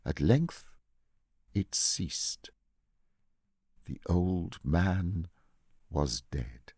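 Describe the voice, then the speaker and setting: A man voicing a nervous, obsessive madman who confesses a murder and insists he is sane, in intense, deliberate gothic horror storytelling. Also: low voice, animated, dark tone, husky, dry recording, studio quality, heavily acted. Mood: grim satisfaction. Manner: intense, theatrical, deliberate, with repeated emphatic words.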